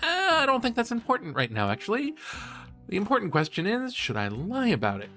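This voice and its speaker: A man's voice: sits high, brisk, soft background music, full character voice. A man playing a cheeky, enthusiastic college student who hosts a podcast, chatting playfully in an audio drama. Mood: playful, defensive. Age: young adult. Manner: playful, casual, animated storytelling. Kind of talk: bantering, conversational podcast-style audio drama.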